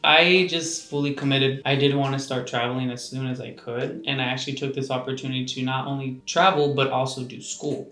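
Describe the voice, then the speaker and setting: Young guy around 20 from the states, bright voice, lots of um's, echoey room. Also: medium pitch, steady tone, a little fast, hardly any pauses. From United States. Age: 20s. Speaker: guest. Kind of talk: casual interview answers. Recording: roomy echo, faint music behind.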